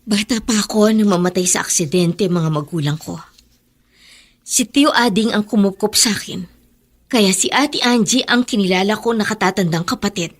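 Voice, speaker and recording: An actress playing a frank, protective younger relative in a radio drama. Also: female voice, medium pitch, normal speed, lightly acted. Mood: pleading. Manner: frank and earnest. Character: caring younger cousin, outspoken and protective.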